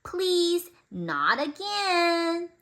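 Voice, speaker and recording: A woman voicing a small, unhappy pet cat in a children's storybook, with drawn-out meows and short pleas. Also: extremely high pitch, very animated, fairly bright tone, voice pushed up, exaggerated voice. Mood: pleading.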